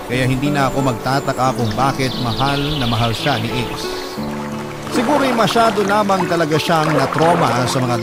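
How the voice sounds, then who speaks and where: Male story narrator over loud music. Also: mid-range voice. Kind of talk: dramatic first-person story narration. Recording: loud music bed under the voice.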